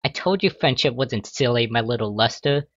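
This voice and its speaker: Man voicing a calm, doting unicorn mom. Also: mid-range voice, moderate pace, dry recording, dull audio. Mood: teasing. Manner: cooing, reassuring. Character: doting, calm unicorn mother and school official.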